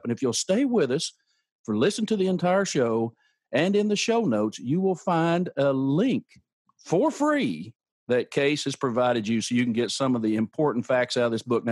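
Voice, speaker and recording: A man's voice: medium pitch, darkish tone, husky, no room sound. A male podcast host delivering upbeat, emphatic motivational patter with a salesy push.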